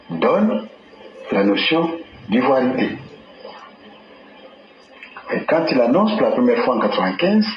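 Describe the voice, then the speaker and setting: A man explaining history in a deliberate way in an interview. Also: medium pitch. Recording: dull roomy audio with faint noise.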